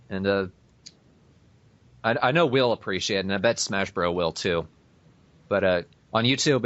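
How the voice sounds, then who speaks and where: Man, fairly low pitch, casual podcast chat, dull lo-fi internet call. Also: a bit bright. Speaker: podcast co-host.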